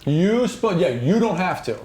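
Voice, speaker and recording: A man's voice: sits high, big pitch swings, unhurried, a little mellow. A man narrating a tabletop fantasy game as game master in an informal, casual way. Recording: noisy room recording.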